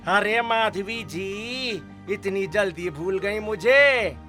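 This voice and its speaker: Male actor as pushy rich schemer dad in cartoon drama, very bright, high-pitched. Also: slow, soft background music, exaggerated voice. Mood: familiar. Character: greedy scheming rich father, impatient, male.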